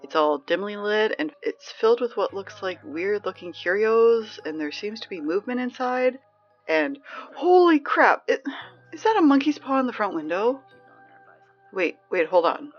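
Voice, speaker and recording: Flustered girl, wildly swinging pitch. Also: very animated. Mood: nervous, uneasy. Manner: halting, exasperated, easily alarmed. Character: flustered, bossy high school girl leading a field trip. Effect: baby monitor transmission. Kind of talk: naturalistic audio drama acting. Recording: dull baby-monitor sound with music.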